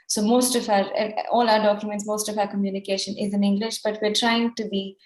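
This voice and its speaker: A woman's voice: mid-range voice, little pitch movement, fairly quick, a little mellow. A young woman giving an informal, explanatory answer as a panel delegate on a video call. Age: young adult. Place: online video meeting, indoor room. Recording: roomy, echoey video call.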